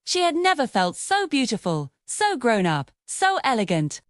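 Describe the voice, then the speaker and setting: Animated woman reading a fairy tale. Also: on the high side, moderate pace, no room sound, clean studio sound. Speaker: storyteller. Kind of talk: scripted fairy-tale narration for children.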